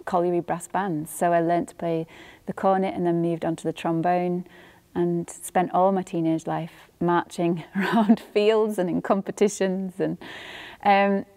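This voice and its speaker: Woman, thirties, Yorkshire accent, easygoing interview guest with a slightly low voice, lapel mic in a studio hall. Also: measured pace. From born in Northern Ireland, raised in England. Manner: relaxed, thoughtful, laughs easily. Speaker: guest musician. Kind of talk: casual interview chat. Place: studio hall with a grand piano.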